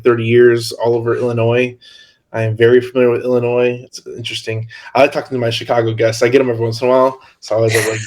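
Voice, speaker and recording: Chatty male podcast host. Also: medium pitch. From Hammond, Indiana. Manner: chatty, laughs often, many fillers. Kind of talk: casual podcast chat.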